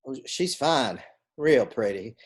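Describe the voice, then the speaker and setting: A man's voice: mid-range voice, expressive, slow pace, breathy edge. A man voicing a kindly, plain-spoken old friend in a stage play reading, easygoing and awkwardly polite. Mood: mild, awkward.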